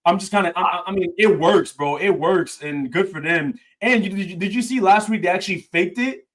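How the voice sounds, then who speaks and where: Man, podcast co-host, slightly high voice, animated casual banter. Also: brisk. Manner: animated, says "bro" a lot. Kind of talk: casual sports and debate chat.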